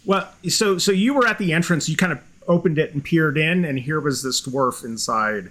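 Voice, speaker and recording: A man narrating a tabletop game as game master, halting and filled with restarts. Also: a little high, a bit bright.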